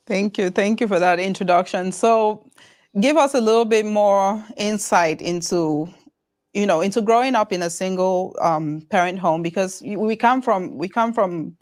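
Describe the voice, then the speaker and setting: A woman's voice: mid-range voice, moderate pace, a little mellow. A woman hosting a podcast, asking her guest questions in a loose, rambling way and repeating words as she works out what to ask. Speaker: podcast host. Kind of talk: casual interview.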